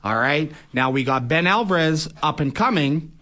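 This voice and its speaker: A man's voice: a little high, expressive, moderate pace, pushed and bright. Plain-spoken man, confident interview guest. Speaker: guest, boxing promoter and fighter. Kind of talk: conversational radio interview.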